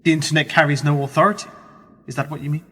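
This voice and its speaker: An actor playing a younger, wry and politely sceptical journalist in a radio play. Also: male voice, a little high, level tone, fast talker, bright, forceful tone, slight background noise. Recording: long reverberant hall echo.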